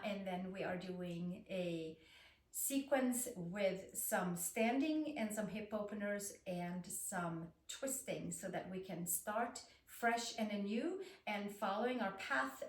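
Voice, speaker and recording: Woman, Swedish accent, yoga teacher, unhurried soothing delivery, roomy echo, mic set back. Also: medium pitch, distant mic. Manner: gentle, soothing, guiding. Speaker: yoga instructor. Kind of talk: guided yoga class instruction.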